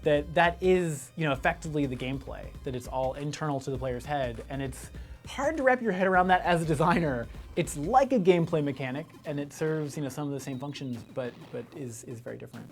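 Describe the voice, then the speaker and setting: A man's voice: on the high side, a little fast, faint music behind. A man giving a casual, reflective interview as a game designer, tentatively thinking aloud.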